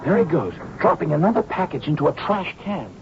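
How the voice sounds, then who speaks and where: An actor playing an earnest, observant police sergeant, a deferential sidekick in a radio drama. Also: male voice, fairly high pitch, sing-song, brisk, over music. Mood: watchful. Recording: dull old radio, noisy street effects.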